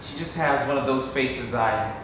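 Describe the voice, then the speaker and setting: A man's voice: mid-range voice, normal speed, natural voice. A man acting in a stage play as a wry, persuasive, scheming grown son of a wealthy family. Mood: wry, conspiratorial. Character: scheming, pragmatic adult son of wealthy businessman. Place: theatre stage. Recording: echoey theatre, distant mic, noisy.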